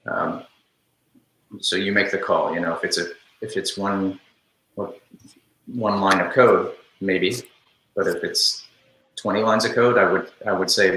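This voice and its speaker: A man's voice: low voice, bright, forceful tone, slight background noise. A man leading a casual work call, giving direction while checking that the others agree. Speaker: team lead running a release call. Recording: echoey room on online call.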